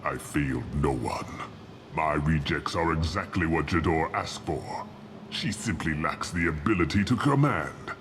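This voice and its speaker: An old alien warlord scientist with a deep voice, imperious and disdainful. His delivery is pompous and theatrical, in the manner of a sci-fi game villain, over clearly noisy ambience. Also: lively intonation, measured pace, heavily acted. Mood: cold, imperious. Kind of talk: video game voice acting. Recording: loud ambient noise behind.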